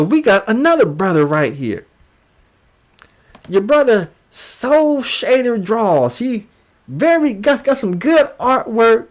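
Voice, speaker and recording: A livestream host giving casual commentary in an emphatic way, often repeating phrases for emphasis. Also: mid-range voice.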